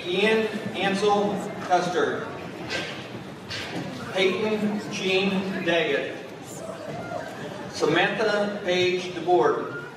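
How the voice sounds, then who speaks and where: Male official, slow and deliberate name reading, high voice, far-off echoey gym. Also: steady tone, noisy background. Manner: measured, deliberate. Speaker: school official reading graduate names. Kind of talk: formal announcement and name reading. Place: large school gymnasium. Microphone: podium microphone, heard from the back of the room.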